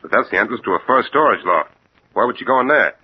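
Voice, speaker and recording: A man acting a dutiful, slangy beat cop in a vintage radio play. His speech is earnest and lively in pitch, heard through a dull recording with faint noise. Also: fast, close to an everyday voice. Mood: uneasy. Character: plain-spoken beat cop, uneasy but dutiful. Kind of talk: 1940s radio drama acting.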